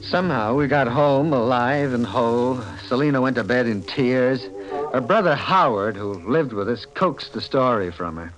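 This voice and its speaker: A man's voice: medium pitch, moderate pace, a bit bright, natural voice, rough recording. An actor playing an obsessed, stubborn collector who narrates his own downfall in dramatic 1940s radio style. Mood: defensive. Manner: intense, dramatic. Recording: old radio recording with music behind.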